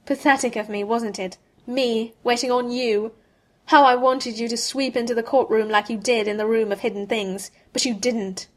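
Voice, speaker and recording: A woman's voice: on the high side, fairly even intonation, normal speed, natural voice. A woman reading aloud as a proud young male wizard, with a scornful, clipped and cutting manner.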